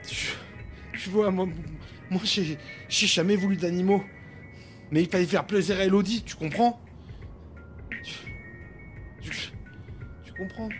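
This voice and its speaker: A man's voice: high voice, a little slow, pauses often, soft background music. A man playing a young cat owner who talks to his pet while driving, rambling and stammering, with the odd swear word. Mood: pleading. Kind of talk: audio drama acting.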